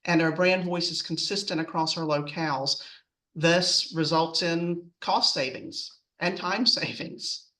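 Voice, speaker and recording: A woman's voice: fairly low pitch, steady tone, a little slow, pushed and bright, recorded from a distance, poor audio. A woman presenting in a semi-scripted, businesslike way as a customer speaker on a webinar.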